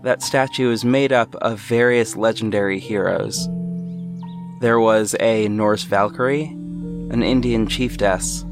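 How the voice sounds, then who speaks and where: A man playing a reflective, earnest city hero who narrates an audio drama in the first person, in a measured storytelling way. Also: lowish voice, steady tone, music in the background.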